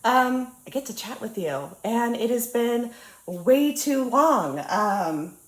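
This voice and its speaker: A woman's voice: fairly high pitch, expressive, slow pace. A young woman with a cold and a slightly nasal voice, chatting casually and bubbly while she works on a craft at her table. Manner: bubbly, chatty, lots of ums and uhs. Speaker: diamond painting channel host. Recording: roomy echo.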